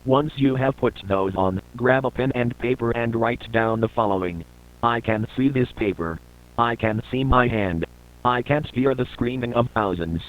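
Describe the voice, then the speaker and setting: A man reading a scripted emergency broadcast announcement in a flat, formal, measured manner. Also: medium pitch, highs cut off.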